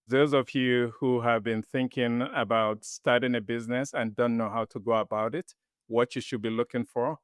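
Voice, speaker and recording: A man hosting a podcast interview, opening the show and putting questions to his guest in a measured, polite way. His voice is smooth and resonant at a middle pitch. The sound is close and dry. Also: no room sound. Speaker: podcast host.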